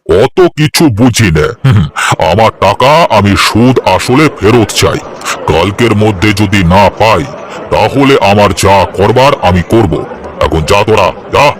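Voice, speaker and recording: A man's voice: lowish voice, expressive, unhurried. A man voicing a bossy village moneylender in a cartoon story, with a blunt, commanding and folksy manner. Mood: stern, demanding. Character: gruff, bossy village moneylender, a man, soft-hearted underneath. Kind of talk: Bengali cartoon story dialogue.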